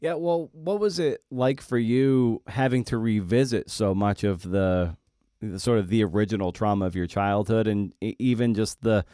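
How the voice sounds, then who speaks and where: Middle-aged man, fairly deep pitch, dry close sound, reflective, halting podcast host. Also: darkish tone, smooth, dry recording. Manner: reflective, halting, rambling long questions. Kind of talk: conversational interview.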